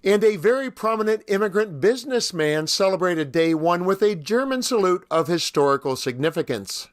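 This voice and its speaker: A man with a slightly rough voice hosting scripted political commentary in a sarcastic, mocking manner. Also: high voice, lively intonation, moderate pace. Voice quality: somewhat rough voice, as the speaker says. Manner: wry, mocking. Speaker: commentary host.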